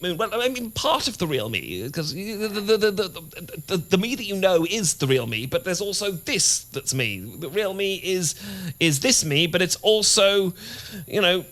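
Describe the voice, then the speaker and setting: A British man playing an adorkable, nervous and eager boyfriend with a secret monster side, stammering and halting in a romantic audio roleplay. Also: sits high, big pitch swings, fairly bright tone. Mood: anxious, tender. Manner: flustered, heavy stammering and halting restarts. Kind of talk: romantic audio roleplay acting, addressed to listener.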